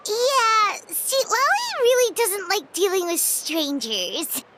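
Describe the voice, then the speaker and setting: A woman's voice: very high voice, very animated, slow, noisy background, full character voice. A woman voicing a small, perky, childlike fairy companion in a video game, chatty and outspoken. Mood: skeptical. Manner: chatty, outspoken, reacts openly. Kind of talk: video game character dialogue. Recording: music playing behind.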